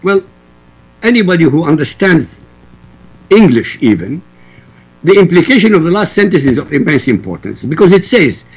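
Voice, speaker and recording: A man's voice: fairly high pitch, big pitch swings, moderate pace, long pauses, breathy edge, rough recording. A man from Iraq whose first language is Arabic, arguing emphatically as a guest in a roundtable debate. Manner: forceful, emphatic quoting. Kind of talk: debate argument.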